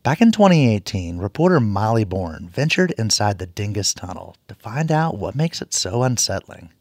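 A man's voice: lowish voice, big pitch swings, moderate pace, darkish tone, dry recording, clean studio sound. A man hosting a public radio program, moving between interview questions and scripted narration. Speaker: radio host.

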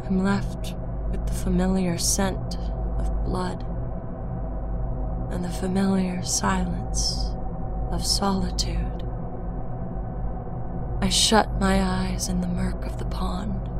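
A woman reading a dark first-person story aloud, slow and hushed, sinking toward a whisper. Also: lowish voice. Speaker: narrator. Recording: narration over loud music score.

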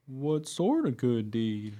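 An earnest, naive dog narrates his life in a radio play, acted by a man. His mid-pitched voice is mellow and rises and falls freely as he tells the story. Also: wildly swooping pitch, slow pace. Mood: puzzled, earnest.

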